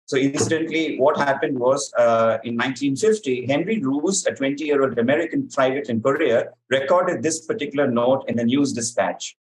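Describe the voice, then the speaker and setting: A man presents a quiz as its question reader. He speaks in a measured, articulate voice, setting out clues and explanations for the contestants. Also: medium pitch, low-quality recording. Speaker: quiz master.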